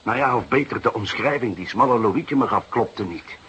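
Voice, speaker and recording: An actor playing a genial, shrewd middle-aged Dutch police inspector in a radio drama, with drawn-out interjections and an avuncular way of talking. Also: male voice, medium pitch, animated, a bit bright, close to an everyday voice. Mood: pensive. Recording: noisy, dated radio play recording.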